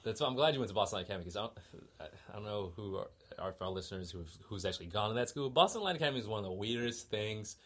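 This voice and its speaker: A man's voice: lowish voice, lively intonation, racing, a bit bright, slightly rough. A man in his thirties hosting a casual interview podcast, rambling with lots of "like". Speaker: podcast host.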